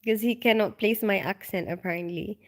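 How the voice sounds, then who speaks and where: A woman's voice: medium pitch, brisk. A woman from the Philippines hosting a casual live stream at home at night. She reads viewer comments aloud and chats with lots of ums and small laughs, and she sounds tired and sleepy.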